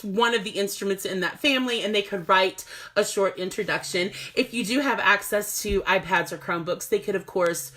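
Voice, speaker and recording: A woman music teacher explains classroom activities in a relaxed, chatty way from home. Her voice sits in a middle register, and her talk is full of little laughs and ums. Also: medium pitch. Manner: chatty, laughs often, many fillers. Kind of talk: casual instructional video.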